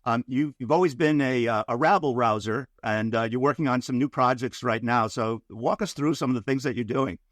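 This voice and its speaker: A man's voice: medium pitch, a little fast, few pauses, a bit bright, dry recording, clean studio sound. A man hosting a podcast interview, asking questions in a conversational, friendly way. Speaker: podcast host.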